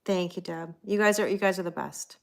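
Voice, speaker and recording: A woman's voice: on the low side, fairly quick, gravelly. Chatty woman tarot reader on a livestream. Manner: warm, chatty, thanks viewers by name. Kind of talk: casual livestream chat, greeting viewers.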